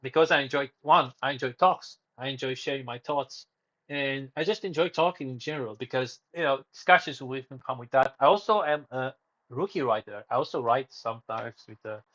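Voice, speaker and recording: Man, small streamer talking solo; wandering, self-correcting delivery at a middle pitch, slightly muffled audio. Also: a little fast, fairly bright tone. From Romania. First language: Romanian. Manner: rambling, self-correcting, drifts off topic. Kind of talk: casual solo livestream chat.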